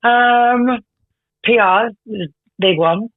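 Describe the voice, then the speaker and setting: Label staffer, woman, croaky from karaoke, dry dull phone line. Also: on the high side, slow, dry recording. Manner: bright, casual, says "babes" and "reckon". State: slightly croaky voice. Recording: muffled phone-call audio.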